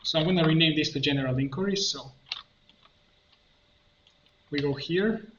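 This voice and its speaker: A man narrating a step-by-step software tutorial, thinking aloud in a halting way as he works. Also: fairly high pitch. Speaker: tutorial instructor, coach. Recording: muffled, roomy, faint noise, keyboard clicks. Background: computer keyboard typing and clicking.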